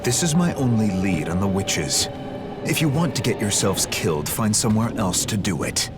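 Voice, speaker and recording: Male, very low register, as a cold, pragmatic game hunter, stern and formal, loud music underneath. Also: sing-song, normal speed. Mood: stern, resolute. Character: grim, coldly pragmatic male demon hunter. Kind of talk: dramatic video game voice acting.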